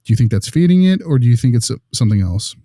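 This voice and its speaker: A man's voice: medium pitch, very animated, fast talker, warm tone, no room sound, clean studio sound, lightly acted. A man playing a friendly, attentive consultant who asks questions in a polite, affirming way in naturalistic dialogue. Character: friendly, attentive male consultant interviewing school staff. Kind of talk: naturalistic acted interview dialogue.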